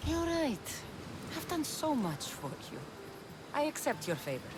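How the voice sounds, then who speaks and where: A woman's voice: sits high, very animated, unhurried, background noise. An actress playing a tough, sardonic young Greek mercenary woman in a video game cutscene, dry and sceptical in manner. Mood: exasperated.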